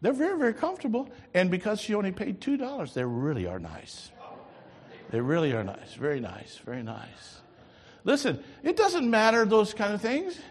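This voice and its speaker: An older man preaches with a husky, somewhat high voice that rises and falls in wide, animated swings. His delivery moves between loud emphatic lines and quiet asides. The sanctuary rings with a long reverb. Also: normal speed. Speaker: pastor. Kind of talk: sermon.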